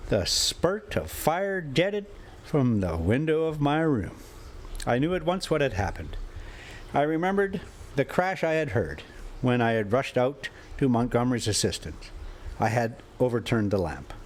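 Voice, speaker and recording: A man reading a classic adventure story aloud in deliberate, theatrical phrases broken into short clauses. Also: medium pitch. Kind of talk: dramatic audiobook-style narration of an adventure story. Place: inside a moving motorhome.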